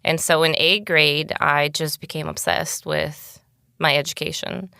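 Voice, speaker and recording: A young woman from Bulgaria, Bulgarian her first language, speaks low with a gravelly edge. Her voice stays smooth and full as she tells her story candidly. The recording is close and dry. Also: steady tone, unhurried, sharp tone, no echo. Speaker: guest. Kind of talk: casual interview talk.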